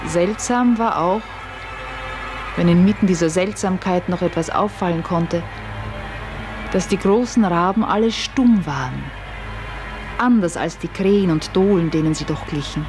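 An actress playing a farmer's wife who recounts an uncanny event with wonder, in measured, literary radio play narration. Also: female voice, fairly low pitch, over music, natural voice. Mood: calm wonder. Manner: measured, literary, deliberate delivery.

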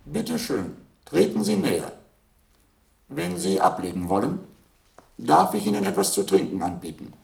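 An actor playing an obsolete household robot in a radio play, polite, formal and literal, a slow-witted servant. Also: male voice, low voice, wildly swooping pitch, creaky, darkish tone, roomy sound, exaggerated voice. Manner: courteous, formal, matter-of-fact. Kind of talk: German radio play acting.

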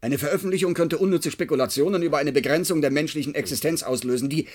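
An actor playing an officious, anxious scientist with a husky voice in a naturalistic radio drama. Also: male voice, on the high side, fast talker, natural voice.

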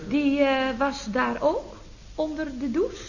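Wry, catty office secretary, radio comedy. Exclamatory, animated delivery; dull vintage sound with noise. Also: female voice, sits high, a little slow, vocal fry, heavily acted. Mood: puzzled, cheeky. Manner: gushing, exclamatory, dryly cheeky. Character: sharp-tongued, chatty female office secretary, wryly catty. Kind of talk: radio comedy serial acting. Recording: muffled, noisy vintage radio recording.

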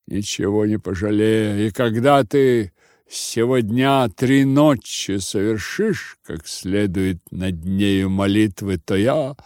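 A proud old Cossack chief, acted by a male narrator, grieving and vengeful. He speaks with solemn, commanding emphasis in a storybook reading, recorded dry and slow-paced. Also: on the low side, a little mellow, dry recording. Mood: stern command. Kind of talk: audiobook character voice.

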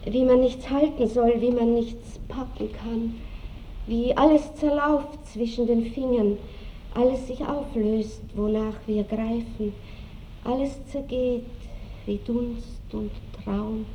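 A woman's voice: on the high side, fairly even intonation, slow pace, mellow, poor audio. An actress playing a melancholy, tender aristocratic woman musing on time in an Austrian accent, wistful and lilting, in a classic radio drama. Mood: resigned. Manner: wistful, musing, lilting old-fashioned speech. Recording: faint hiss of old radio recording.

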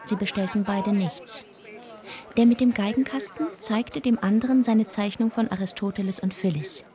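An actress playing an observant, gently wry woman narrator, telling her travel story in a measured radio-drama style. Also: medium pitch, lightly acted. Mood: watchful. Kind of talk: scripted first-person narration. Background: music.

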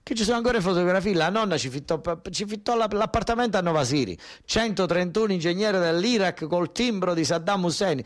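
A man's voice: sits high, animated, quick pace, few pauses. A man with a southern Italian accent from Basilicata performs a theatrical first-person monologue in colloquial, dialect-tinged speech, repeating himself for emphasis as if explaining things to his family. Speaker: stage actor performing a narrative monologue.

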